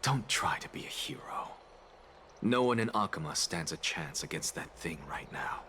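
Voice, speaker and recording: Low-voiced, aloof cynical professor. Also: male voice, expressive, bright, forceful tone, slight background noise. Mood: grave, dry. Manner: dry, dismissive, deliberate. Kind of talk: anime-style game voice acting.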